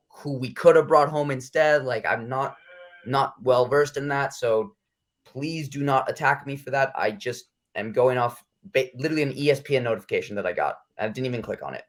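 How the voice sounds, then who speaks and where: A man talks through his interview answers in a loose, rambling way. He hedges and restarts often, filling gaps as he goes. His pitch sits in an ordinary middle range for a man. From United States. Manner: rambling, self-conscious, hedging with fillers. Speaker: interview guest. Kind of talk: casual interview chat.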